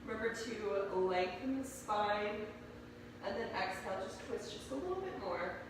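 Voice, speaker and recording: Yoga teacher, female, slightly nasal from a cold, calm unhurried cueing, distant mic in a very echoey room with steady noise. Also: mid-range voice. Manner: calm, slow cueing of poses and breaths. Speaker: yoga instructor. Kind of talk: guided yoga class instruction.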